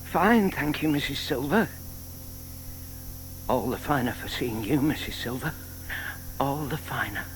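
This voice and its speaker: An actor playing a shy, lonely retired gentleman, hesitant and wistful, in an audio drama. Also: mid-range voice, animated, a little slow, pauses often, lightly acted. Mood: polite, longing. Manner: hesitant, wistful, muttering to himself. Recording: faint hiss on old cassette drama.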